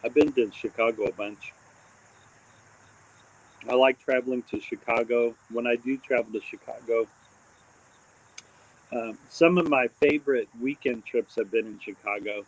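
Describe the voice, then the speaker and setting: A man's voice: medium pitch, measured pace, pauses often, low-quality recording. A man hosting a casual live stream, rambling with lots of fillers and restarts, with crickets chirping behind him. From born in Texas, raised mostly in Indiana. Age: adult with a daughter. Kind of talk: casual rambling livestream chat.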